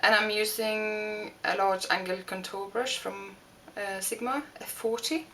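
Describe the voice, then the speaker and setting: A young woman from Norway with a Norwegian accent, talking through a makeup tutorial with many pauses and restarts. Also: medium pitch, steady tone, bright, forceful tone, a little hiss or hum. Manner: hesitant, many fillers and restarts. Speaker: beauty vlogger. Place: home room.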